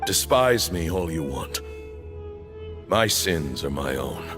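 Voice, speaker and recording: A man playing a stern, bitter temple leader in a video game, speaking in a formal, grave, measured way. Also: low-pitched, big pitch swings, exaggerated voice. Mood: commanding, resolute. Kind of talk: dramatic video game voice acting. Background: background music.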